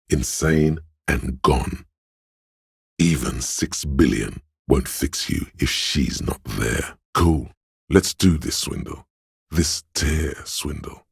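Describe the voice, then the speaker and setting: A man voicing a flamboyant, cocky inner voice of style and hustle in a video game, with a suave, theatrical, deliberate delivery and a gravelly, husky tone. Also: extremely low pitch, no echo, clean studio sound, full character voice. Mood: urgent, dramatic. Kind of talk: dramatic scripted game narration in second person.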